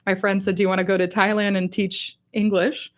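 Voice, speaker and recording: A woman's voice: medium pitch, level tone, fairly quick. A woman in her thirties from Florida, telling her story as a guest on a radio show in an easygoing way. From Florida, USA. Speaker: guest, city arts manager. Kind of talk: radio interview answers. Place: radio studio.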